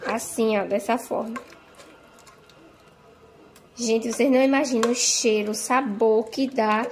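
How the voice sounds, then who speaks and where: A woman's voice: fairly high pitch, steady tone, unhurried. Chatty woman cooking at home. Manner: chatty, tags phrases with "tá?" and "gente". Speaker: home cook vlogger. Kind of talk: casual cooking narration. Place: home kitchen, indoors.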